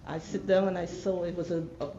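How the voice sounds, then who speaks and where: A woman's voice: lowish voice, level tone, a little fast. Woman, about 70, Guyanese accent, reflective personal storyteller; slightly muffled sound, faint noise. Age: older, about 70. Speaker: artist telling her story. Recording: dull sound with background room noise.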